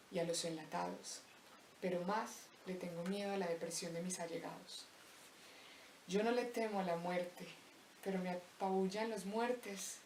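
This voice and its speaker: A young woman from Colombia with a Paisa accent, slowly reciting a reflective spoken-word poem with deliberate pauses. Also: mid-range voice, darkish tone, a little hiss or hum. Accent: Paisa Colombian Spanish. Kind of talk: spoken-word poetry monologue. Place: empty abandoned house. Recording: roomy echo, voice distant from mic.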